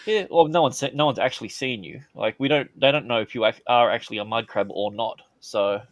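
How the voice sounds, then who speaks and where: Brief: Australian male podcast host, mid-pitched, dry close sound, loose rambling delivery with crude humour. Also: a little fast, dry recording. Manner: rambling, many fillers, crude jokey banter. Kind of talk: casual comedic podcast chat.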